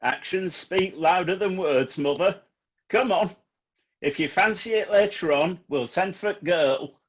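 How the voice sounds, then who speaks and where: Man acting a husky, blunt boss. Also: high voice, measured pace, dull audio. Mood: exasperated. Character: blunt, scolding male music manager, irritable son. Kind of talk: comedy radio play acting.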